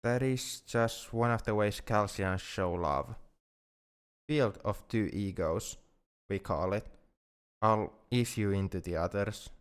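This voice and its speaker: A smooth, lowish voice belongs to a shy alien in love , played by a man. He speaks very slowly and gently, halting and repeating himself with awkward tenderness. It is an intimate ASMR roleplay. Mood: gentle, reassuring.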